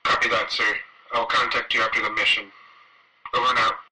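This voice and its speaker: A man voicing a brisk male soldier reporting to his commander in an audiobook, clipped and formal, through a radio filter. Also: lowish voice, level tone, bright, forceful tone, a little hiss or hum, low-quality recording. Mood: dutiful.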